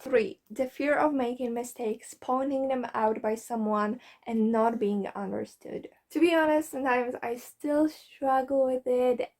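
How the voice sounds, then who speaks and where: A woman from Poland, a Polish native speaker with a Polish accent, giving frank, earnest language-learning advice straight to camera in a vlog. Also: fairly high pitch. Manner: earnest, frank, occasionally swears when fired up. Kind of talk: direct-to-camera advice talk.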